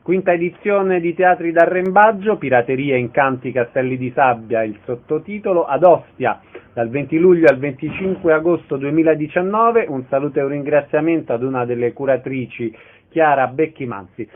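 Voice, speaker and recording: A man's voice: on the high side, moderate pace. A man hosting a radio interview, putting questions to guests and making announcements. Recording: thin phone-like radio sound.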